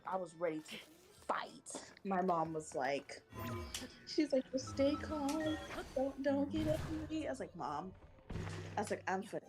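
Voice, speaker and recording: Woman streamer, animated storyteller, pitches her voice up from a deeper natural tone. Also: unhurried. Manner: animated venting, acts out quoted voices. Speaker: stream co-host. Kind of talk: casual chat. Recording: music playing behind.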